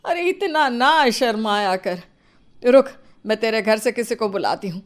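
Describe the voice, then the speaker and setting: A woman's voice: fairly high pitch, expressive, measured pace. A woman playing an exasperated, dramatic middle-aged mother-in-law who nags and scolds in an acted moral story. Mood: complaining. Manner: nagging, theatrical.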